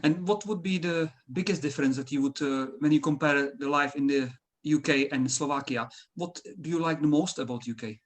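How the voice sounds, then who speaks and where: A man's voice: on the high side, fairly quick. A man interviewing a guest, asking questions politely and hesitantly with many fillers.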